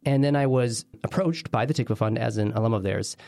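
A man's voice: mid-range voice, brisk, gravelly, darkish tone, no echo, clean studio sound. A man from Israel with an American accent, giving conversational interview answers as a guest scholar in a hesitant, wordy, self-interrupting way.

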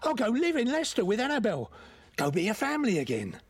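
An actor in an audio drama playing a distraught, stubborn elderly male thief brought back to life, halting and pleading. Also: high voice, wildly swooping pitch, breathy edge, heavily acted. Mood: defiant. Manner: halting, disbelieving, pleading, colloquial.

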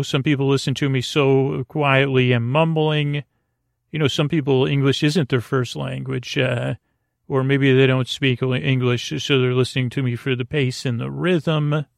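A man hosting a bedtime podcast in a gentle, reassuring, halting monologue that meanders and over-explains, with a creaky voice. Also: mid-range voice, no room sound, studio quality. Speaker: podcast host.

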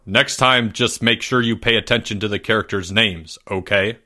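A man voicing a patient, weary male schoolteacher who gently prompts his pupils in a story. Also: lowish voice, moderate pace. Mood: gently encouraging.

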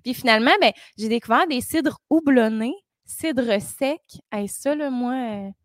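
A woman in her twenties with a Québécois French accent, chatting casually as a podcast co-host, giggly and full of lively asides. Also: a little high, unhurried, no room sound. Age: 20s. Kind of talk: casual chat.

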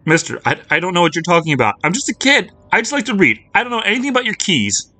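A man's voice: mid-range voice, big pitch swings, moderate pace, fairly bright tone, dry recording, pitched above the performer's own voice. A man voicing a timid, frightened young girl who stammers and hesitates. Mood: panicked.

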